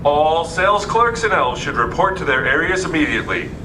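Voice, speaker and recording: A man's voice: animated, fairly quick, lightly acted. A man playing a formal department store announcer in a puppet show. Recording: echoey, distant recording with rumbling noise.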